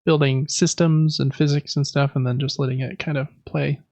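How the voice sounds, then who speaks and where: A man's voice: on the high side, flat delivery, normal speed, darkish tone, dry recording, highs cut off. A man co-hosting a podcast, chatting casually about video games in an easygoing, tentative way. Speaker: podcast co-host.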